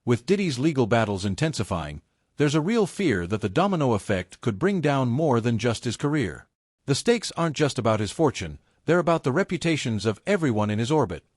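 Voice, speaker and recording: A man's voice: medium pitch, sing-song, fairly quick, no room sound, studio quality. A man reading scripted celebrity gossip narration in a dramatic, insinuating storytelling manner.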